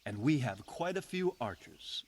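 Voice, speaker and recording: An actor playing a shrewd medieval lord in a video game, speaking in a dry, wry, deliberate manner. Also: male voice, medium pitch, sing-song, darkish tone, slightly rough, exaggerated voice. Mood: sly. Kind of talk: dramatic video game cutscene acting.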